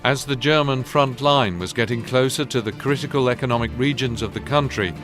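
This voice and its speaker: A man's voice: medium pitch, brisk, over music, close to an everyday voice. War game narrator man: formal documentary read, grave tone, medium register, prominent musical backing. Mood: solemn. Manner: solemn, formal. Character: solemn male narrator of a wartime chronicle. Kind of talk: scripted documentary-style narration.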